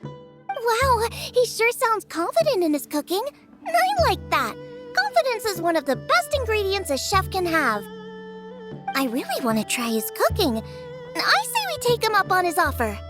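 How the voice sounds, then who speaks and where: Bubbly game girl chef, super high voice, really bouncy, music behind. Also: huge pitch swings, normal speed, exaggerated voice. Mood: delighted. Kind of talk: video game cutscene acting.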